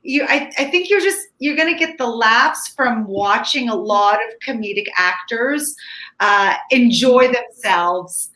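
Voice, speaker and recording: Bright-voiced woman reviewing films in an echoey room. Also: fairly high pitch, expressive, measured pace. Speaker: film critic co-hosting a movie review. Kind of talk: casual review chat.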